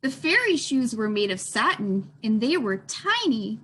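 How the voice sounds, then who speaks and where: A woman's voice: fairly high pitch, big pitch swings, measured pace, a bit bright, slightly rough. A woman librarian reading aloud for a children's storytime, expressively addressing young listeners.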